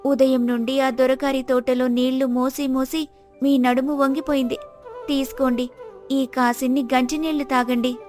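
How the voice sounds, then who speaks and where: Woman as caring worried wife, fairly high pitch, earnest, pleading, moral-story dialogue, soft music. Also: flat delivery, normal speed, lightly acted. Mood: concerned. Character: compassionate, worried village wife urging her husband.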